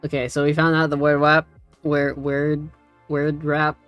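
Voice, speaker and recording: A woman with a strikingly low voice, streaming a tutorial in a casual, easygoing manner that drifts as she goes. She sounds close and dry on the mic, over faint background music. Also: level tone, measured pace, dry recording. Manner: easygoing, giggly, easily sidetracked. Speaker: streamer teaching a visual novel tutorial. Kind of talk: casual chat.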